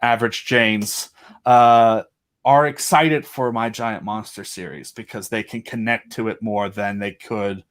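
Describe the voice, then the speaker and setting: A man's voice: on the low side, steady tone, measured pace. A man talking casually as a podcast guest, earnest and rambling as he thinks aloud. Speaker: podcast guest, independent audio drama creator. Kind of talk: casual chat.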